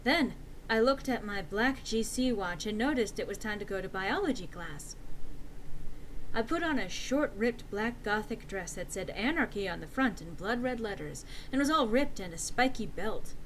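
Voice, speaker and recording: A woman's voice: mid-range voice, animated, normal speed, a little hiss or hum, poor audio. A woman narrating a fan fiction story as a theatrical, mock-serious dramatic reading.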